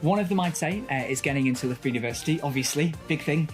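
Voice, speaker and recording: A man in his early twenties, an election candidate, answering questions eagerly with quick restarts. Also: fairly high pitch, faint music behind. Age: 20s. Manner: eager, fast talking with restarts. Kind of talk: casual interview answers.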